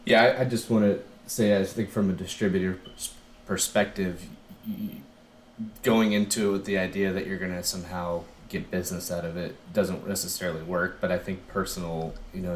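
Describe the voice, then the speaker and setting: A man with a very deep voice moderates a casual panel and asks questions of the guests. His voice sounds roomy and echoey, with faint background noise. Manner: conversational, posing questions.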